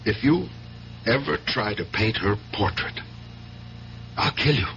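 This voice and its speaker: A man's voice: mid-range voice, unhurried, natural voice. An actor in a 1970s radio drama playing a grim, blunt policeman who is a fiercely protective brother. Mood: stern, threatening. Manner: emphatic, intense, blunt. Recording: dull vintage radio recording, faint hiss.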